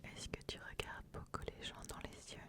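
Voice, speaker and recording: A woman speaking in a soft, unvoiced whisper as an ASMR host, addressing the listener directly with repeated phrases. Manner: slow, repetitive phrasing, repeating questions and words. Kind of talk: ASMR personality-test roleplay, addressing the listener.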